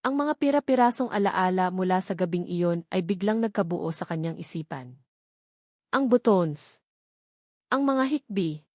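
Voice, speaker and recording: A woman's voice: medium pitch, a little mellow, no echo, studio quality. A woman reads a scripted romance story as narrator, in a dramatic, emphatic storytelling manner.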